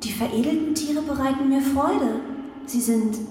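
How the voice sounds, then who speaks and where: A woman's voice: high-pitched, moderate pace, mellow, lightly acted. A woman playing a courteous, controlling artificial intelligence who rules a space ark, speaking with formal, emotionless politeness in a children's radio play. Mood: formal, detached. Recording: echoey hall, distant, faint noise.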